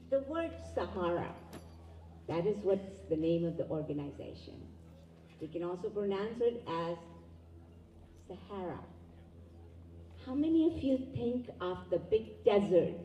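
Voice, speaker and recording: A woman whose first language is Urdu delivers a measured, formal address to an audience. Her voice is mellow and dark in tone. She sounds distant from the microphone, caught in a roomy, echoing hall. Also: mid-range voice, a little hiss or hum. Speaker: event emcee and educator. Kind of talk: formal welcoming speech. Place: community event hall. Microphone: distant room mic. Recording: echoey hall, voice far from mic.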